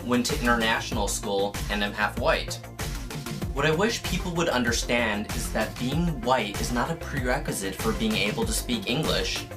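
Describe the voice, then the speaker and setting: A woman from Hong Kong, giving a measured personal statement. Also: extremely low pitch, lively intonation. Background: music.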